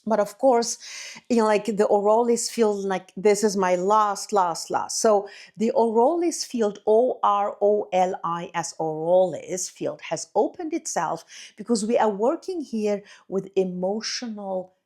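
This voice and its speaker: A woman, an Arabic speaker, talks straight to her viewers in a rolling, incantatory spiritual monologue. Her voice sits at a middling pitch for a woman. She stacks phrases one after another and leans on "you know, like" as she goes. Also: unhurried, darkish tone. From Egypt. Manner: effusive and incantatory, addresses listeners as beloved, many "you know, like" fillers. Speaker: spiritual teacher and channel host.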